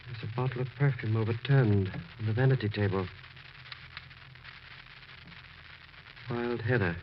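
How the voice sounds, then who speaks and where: An actor playing a concerned, stern doctor who reasons earnestly and insistently in a 1940s radio horror drama. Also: male voice, lowish voice, natural voice. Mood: probing. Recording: muffled, noisy old radio broadcast.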